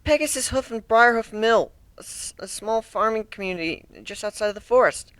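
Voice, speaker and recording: A man's voice: sits high, fairly quick, fairly bright tone, clean tone, dry recording, voice pushed up. A man voicing a young female griffin on trial in a dramatic story reading, timid and defensive, with a hesitant, stammering delivery. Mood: timid, uneasy. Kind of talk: dramatic fan fiction reading.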